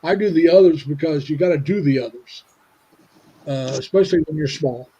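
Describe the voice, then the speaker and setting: A man's voice: on the high side, warm tone, slightly rough, muffled recording. A man in his seventies from Indiana, hosting a casual livestream chat and rambling with lots of restarts. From Indiana, USA. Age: 70s. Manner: rambling, halting with many restarts. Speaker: livestream host. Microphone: video call.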